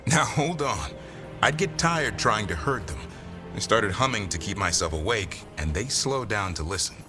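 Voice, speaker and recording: An actor playing a proud elf monster hunter in a video game, gruff but fond, bristling when teased. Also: male voice, on the low side, very animated, normal speed, music in the background. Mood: defensive, teasing.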